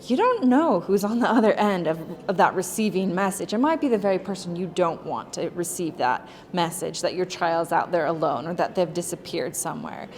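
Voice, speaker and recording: A woman's voice: lowish voice, a little mellow. A woman giving an earnest public speech, stressing her points with repeated words. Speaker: speaker at a press event. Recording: long hall reverb.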